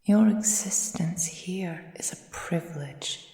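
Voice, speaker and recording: Female vampire mistress, played by a woman, ASMR roleplay: very low, unhurried, possessive and condescending, half-whispered, in a reverberant room. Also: warm tone. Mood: cold, exasperated. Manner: silky, measured, formal, often dropping into breathy whispers. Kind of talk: slow, intimate ASMR roleplay. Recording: echoey room with long reverb.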